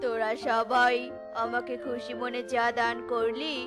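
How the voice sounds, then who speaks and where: A woman's voice: flat delivery, measured pace, close to an everyday voice. A woman voicing a greedy old male holy man who cons birds, commanding and condescending, over music. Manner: commanding, condescending, booming laugh.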